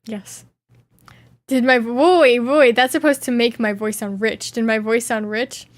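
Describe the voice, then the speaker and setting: A woman's voice: fairly high pitch, fairly even intonation, a little slow, no echo. A woman streamer chatting casually, reading viewer questions aloud and reacting playfully with frequent giggles. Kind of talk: casual live Q&A chat.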